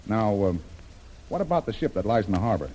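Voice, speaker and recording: An actor playing a plucky, plain-spoken American seafaring adventurer in an old-time radio drama. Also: male voice, lowish voice, sing-song, brisk, mellow. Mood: determined, urgent. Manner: plain-spoken, informal, hesitant when cornered. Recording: noisy vintage radio drama recording.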